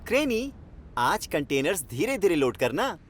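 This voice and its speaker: An actor voicing a mischievous, proud young diesel train engine in a cartoon, animated and chummy. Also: medium pitch, measured pace, a little hiss or hum. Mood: instructing. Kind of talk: cartoon dub acting.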